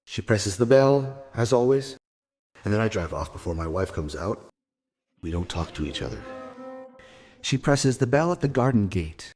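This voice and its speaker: A man playing a separated father in a crime audio drama, explaining himself in halting, clipped, self-justifying phrases. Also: lowish voice, animated, darkish tone, faint music behind, natural voice. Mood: defensive, uneasy. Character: separated father giving a defensive, halting account to police.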